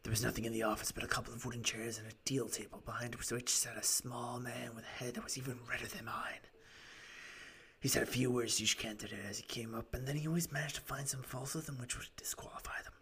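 A man acts out a Victorian shopkeeper from a storybook, recounting his story at earnest length. The voice is raspy and gravelly, with old-fashioned, wordy phrasing. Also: mid-range voice, flat delivery, quick pace, pushed and bright, full character voice.

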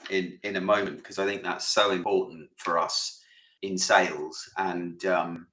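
A man co-hosting a podcast in a relaxed, chatty way, with frequent "uh"s. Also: deep voice, measured pace, echoey room, rough recording. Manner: relaxed, lots of fillers. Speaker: podcast co-host. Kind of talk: casual podcast chat.

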